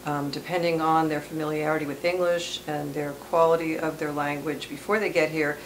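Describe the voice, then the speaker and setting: A woman who teaches English as a second language gives thoughtful, measured interview answers, pausing often to think. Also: deep voice, level tone, slight background noise, rough recording. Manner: thoughtful, measured, with frequent "um" pauses. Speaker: ESL teacher. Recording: music bed under voice.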